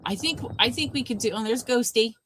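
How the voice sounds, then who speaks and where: A woman with a deep voice hosting a live watch party, chatting casually and answering viewers' comments by name. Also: brisk, slight background noise. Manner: chatty, warm replies to chat, addresses viewers by name. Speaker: livestream host.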